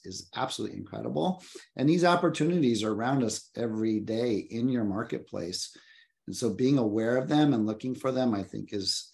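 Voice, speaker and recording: A man's voice: mid-range voice, vocal fry, a little mellow. A man in his fifties, a real estate agent, speaking earnestly on a panel over a video call from his office, often repeating words as he thinks. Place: home office. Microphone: video call webcam.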